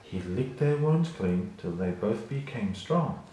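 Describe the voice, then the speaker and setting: A man storyteller giving an expressive children's read-along narration. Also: on the low side, a little slow. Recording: very echoey room, faint noise.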